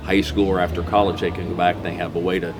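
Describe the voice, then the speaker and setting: A man's voice: low-pitched, flat delivery, fast, crowd noise. A man chatting informally as a talk show host, with hesitant phrasing. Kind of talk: unscripted interview hosting. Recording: clear background noise.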